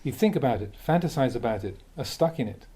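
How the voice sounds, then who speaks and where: A man, a Buddhist monk, reading a dharma talk aloud in a measured, reflective way with the occasional soft chuckle. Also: mid-range voice, animated.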